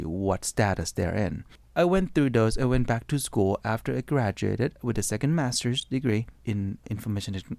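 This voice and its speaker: This is a man in his forties, born and raised in Thailand, speaking English as a second language. His voice sits low, and he talks through his life story in a hesitant way, with many restarts and fillers. The recording is dry and close. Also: vocal fry, darkish tone, no room sound. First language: Thai. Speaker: interview guest. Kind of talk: conversational life story interview.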